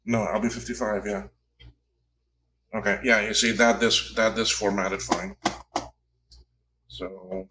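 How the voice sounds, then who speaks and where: A man's voice: fairly low pitch, little pitch movement, slow, long pauses, sharp tone, slight background noise. A man in his fifties chatting casually on a livestream while repairing old computers, rambling and correcting himself as he works. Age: 50s. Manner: rambling, self-correcting, talks while tinkering.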